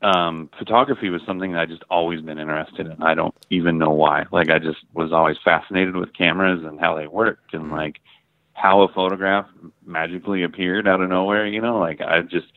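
A man's voice: deep voice, fairly quick, dry recording. A middle-aged American man from the Midwest, a photographer chatting casually in an interview, telling stories with lots of fillers and the odd swear word. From Ohio and Michigan, US. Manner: relaxed storytelling, heavy filler words, casual swearing. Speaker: guest photographer. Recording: dry, phone-like line.

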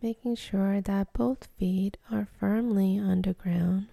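A woman leading a guided meditation in a soft, breathy, soothing voice, gently giving instructions with long pauses. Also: mid-range voice, steady tone, no room sound.

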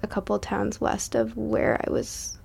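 A woman's voice: medium pitch, little pitch movement, measured pace, creaky, a little mellow, husky. A young woman from Massachusetts, a musician guest on a podcast, talking casually with lots of likes and ums. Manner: chatty, laughs often, many likes and ums.

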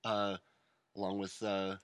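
A man's voice: sits low, completely flat delivery, unhurried, a bit bright, no echo, clean studio sound. A man co-hosting a casual podcast, joking and rambling with self-mocking asides. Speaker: podcast co-host. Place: rural home studio.

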